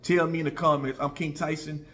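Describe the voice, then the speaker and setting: A man's voice: a little high, little pitch movement, fairly quick, fairly bright tone. An American man in his early forties giving casual opinions to viewers, conversational, repeating himself for emphasis and often checking in with listeners. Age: early 40s. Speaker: online video commentator.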